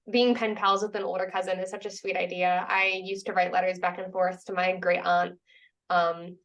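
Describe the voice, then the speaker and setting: A woman hosting a casual interview, encouraging and warm, with many "like" fillers. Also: medium pitch, distant mic. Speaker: interviewer, host. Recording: voice set back from the mic.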